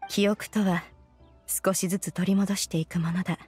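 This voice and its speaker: A woman's voice: lowish voice, level tone, moderate pace, a little mellow, slightly rough, faint music behind. A woman voicing a wise, aloof immortal adept in a video game, composed and stately, with archaic phrasing. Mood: calm, reassuring. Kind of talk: video game dub acting.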